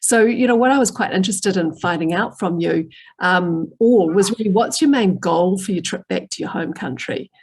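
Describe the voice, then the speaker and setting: A woman, moderating a panel discussion in a measured, friendly way. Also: on the low side, darkish tone, husky. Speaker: panel host.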